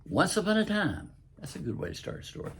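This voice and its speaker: An older man with a Southern US accent and a raspy voice, reading his own story aloud in a folksy, deliberate storytelling way. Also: high voice, big pitch swings. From southern United States. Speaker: host and author reading own stories.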